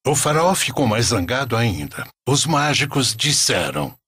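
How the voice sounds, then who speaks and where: A man's voice: mid-range voice, sing-song, measured pace, bright, forceful tone, husky, no room sound, studio quality. A Brazilian man reading scripted storytelling narration for a religious cartoon.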